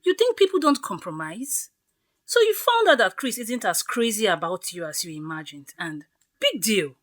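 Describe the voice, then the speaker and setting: A woman's voice: mid-range voice, very animated, normal speed, a bit bright. A woman playing a bossy, blunt friend in an audio drama, nagging emphatically with exasperation. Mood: scolding, indignant. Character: Gladys, bossy, scolding, blunt female friend of the bride.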